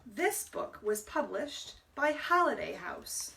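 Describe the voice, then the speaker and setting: A woman reading a picture book aloud to young children as a library storyteller, deliberately, pausing to explain words. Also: fairly high pitch, sing-song, gravelly, distant mic, heavy background noise.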